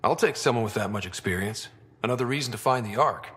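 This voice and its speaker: A man's voice: mid-range voice, fast, fairly bright tone, breathy edge. An actor playing a young, easygoing male human explorer in a video game, casual and level-headed with dry delivery. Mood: easygoing, confident. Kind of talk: video game cutscene acting.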